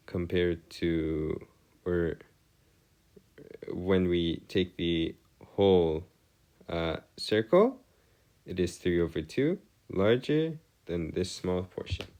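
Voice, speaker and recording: A man with a deep voice tutors in an explanatory, partly improvised style. His pitch swings widely as he works through each point. He is formal and wordy, often hesitating and rephrasing himself. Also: animated, takes it slowly, long pauses, darkish tone. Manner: hesitant, self-correcting, formal wordy phrasing. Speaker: math tutor. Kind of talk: semi-improvised explanatory lesson.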